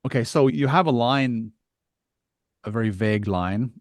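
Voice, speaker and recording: A man's voice: mid-range voice, normal speed, no echo, studio quality. A man hosting an interview podcast, talking conversationally and thinking aloud in a discursive, hedging way.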